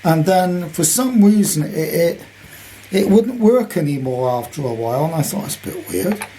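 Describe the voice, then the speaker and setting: A British man in his sixties with a slightly high voice talks through an item in a casual show-and-tell. His delivery is chatty, unscripted and rambling, and there is faint noise behind him. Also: lively intonation, moderate pace, mellow, low-quality recording. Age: 60s. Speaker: radio collector presenting his item.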